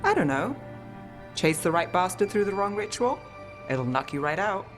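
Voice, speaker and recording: A woman's voice: fairly low pitch, very animated, normal speed, soft background music. A woman playing a wry, world-weary detective in a video game, speaking in a dry, understated way. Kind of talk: video game character acting.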